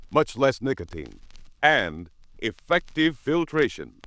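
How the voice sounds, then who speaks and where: A man's voice: medium pitch, fairly bright tone, poor audio. A man reading a 1950s radio commercial with an emphatic, punchy sales delivery. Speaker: commercial announcer.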